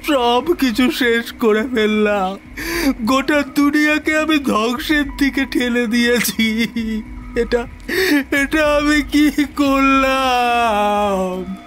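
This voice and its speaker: A man's voice: extremely high pitch, takes it slowly, a bit bright, faint music behind, full character voice. An actor playing an anguished male scientist in a horror audio drama, theatrical and impassioned, often repeating his words. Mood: despairing.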